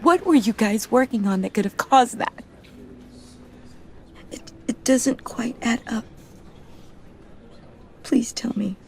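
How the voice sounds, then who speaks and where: An actress playing a grieving, determined young woman in a video game, accusing, with a halting, emotional and intense delivery. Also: on the high side, gravelly, a little mellow. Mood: tearful, confused.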